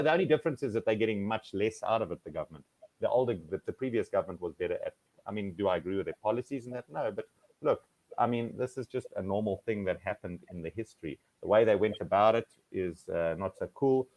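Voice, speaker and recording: A man's voice: lowish voice, darkish tone, no echo, rough recording. A South African man tutoring over a video call, talking at length with many fillers and restarts. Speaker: online English tutor. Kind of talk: casual video-call conversation.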